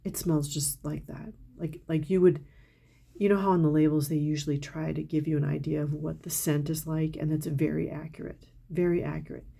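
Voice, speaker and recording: A middle-aged woman talks casually and candidly to her viewers about perfumes she bought. Her voice sits low and mellow with a gravelly edge. She sounds a little congested from a cold, and she is openly enthusiastic. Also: fairly even intonation, a little fast. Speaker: perfume reviewer, channel host. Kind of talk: casual product haul talk to camera.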